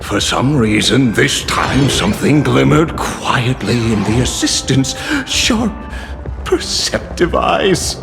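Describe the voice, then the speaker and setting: Suave flowery sleuth for a game. Gravelly, dramatic, reverberant, music underneath. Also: male voice, medium pitch, big pitch swings, heavily acted. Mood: wistful, dramatic. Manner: flowery, deliberate, grandiose. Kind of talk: dramatic video game voice acting. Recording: reverberant hall, music behind.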